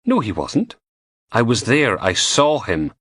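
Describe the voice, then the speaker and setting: A man's voice: medium pitch, wildly swooping pitch, slow pace, fairly bright tone, dry recording, clean studio sound, voice pushed up, full character voice. A man voicing a cheeky, teasing young goth woman who works in forensics. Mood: blunt.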